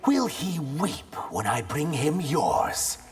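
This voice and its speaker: A man's voice: fairly high pitch, big pitch swings, takes it slowly, heavily acted. A man playing a wry, defiant fantasy warrior in a video game, with a dry, unbowed delivery. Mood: wry defiance. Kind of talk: video game fighter acting. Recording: long hall reverb, music behind.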